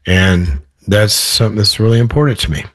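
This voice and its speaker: A man with a hoarse voice, a guest in an interview, talking in a thoughtful, rambling way with many restarts. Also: on the low side, a little fast, fairly bright tone, dry recording. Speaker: author guest. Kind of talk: podcast interview.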